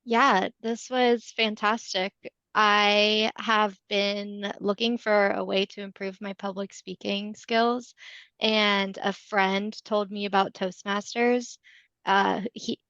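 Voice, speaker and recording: Woman guest talking on a video call, a bit of gravel in her voice, dull dry sound. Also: medium pitch, fairly bright tone, dry recording.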